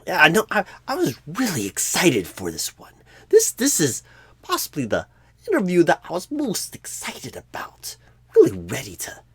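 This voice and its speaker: A man playing a clueless, bumbling job recruiter in a comedic ASMR role-play, rambling with odd pauses and repeated words, flustered but eager. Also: medium pitch, fairly bright tone, slightly rough, no room sound, exaggerated voice.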